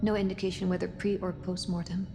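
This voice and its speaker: An actress playing a sober, practical spaceship crew member in a sci-fi horror game, speaking in a clipped, matter-of-fact way. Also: female voice, fairly low pitch, little pitch movement, fast talker, creaky, music in the background. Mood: grim, clinical. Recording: long cavernous reverb.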